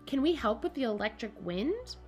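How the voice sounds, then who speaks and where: A woman voicing an excited, determined teenage boy inventor in a storybook, exclaiming with emphasis. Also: fairly high pitch, normal speed, faint music behind. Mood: eager.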